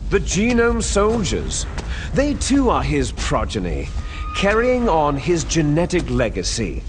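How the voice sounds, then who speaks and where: An actor playing a bitter, theatrical villain, a cloned soldier, declaiming and sneering in a video game cutscene. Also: male voice, fairly high pitch, wildly swooping pitch, unhurried, noisy background. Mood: bitter, grandiose. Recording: music behind the voice.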